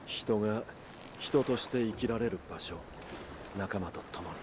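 An actor playing a resolute, brooding outlaw leader in a video game, speaking earnestly and with measured gravity. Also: male voice, fairly low pitch, background noise, lightly acted. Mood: solemn, resolute. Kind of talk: Japanese video game cutscene acting.

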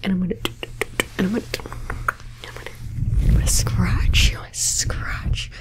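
A woman voicing a playful, doting pet cat in an ASMR roleplay, whispering softly and meowing between her words. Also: mid-range voice, steady tone, a little slow, natural voice. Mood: whispery, playful. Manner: soft whispering with repeated meows.

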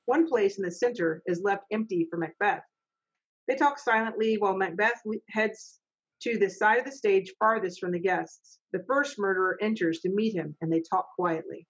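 Middle-aged woman, stage-direction narration, medium pitch, lo-fi home video call. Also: normal speed, slightly rough. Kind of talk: reading stage directions in an amateur online readers' theater.

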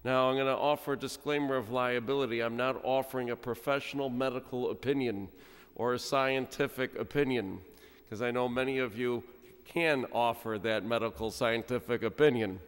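A middle-aged man, a priest, giving an animated, humorous sermon at a pulpit microphone, with sudden quiet drops. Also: mid-range voice, normal speed, clean tone. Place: large church, speaking at a pulpit. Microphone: stand mic at pulpit. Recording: long church reverb.

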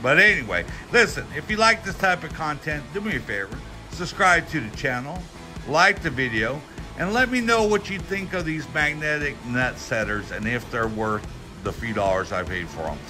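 A middle-aged American man giving a casual, folksy hands-on product review, talking in a halting, rambling way with repeated words. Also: fairly high pitch, sing-song, over music. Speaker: tool review channel host. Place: indoors at a workbench.

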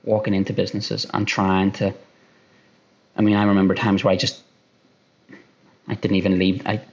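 A man in his thirties with an Irish accent, a sales director answering interview questions on a video call, talking fast and restarting often. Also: low voice, monotone, a little mellow. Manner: fast-talking, repeats himself, many restarts. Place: room at home by a window. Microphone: headphones on a video call. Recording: dull lo-fi call audio.

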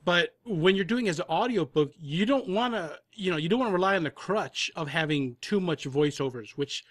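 A man's voice: high-pitched, expressive, brisk, dry recording, studio quality. A man talking casually as an interview guest, thinking aloud as he explains his points. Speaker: audio drama producer, panel guest.

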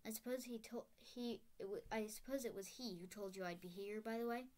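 Storybook role: grave, quiet old headmaster wizard, read by a woman. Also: a little high, lightly acted. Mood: grave, firm. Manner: quiet, heavy, measured.

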